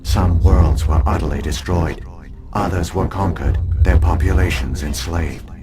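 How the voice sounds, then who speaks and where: An actor playing an ancient alien archive program that speaks in a measured, grave, formal way. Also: male voice, low voice. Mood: solemn. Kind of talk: video game voice acting. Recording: music playing behind.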